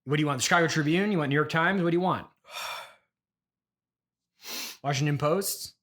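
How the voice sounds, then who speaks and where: A man hosting an improvised comedy podcast interview, halting and apologetic at times, needling at others. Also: fairly high pitch, a bit bright.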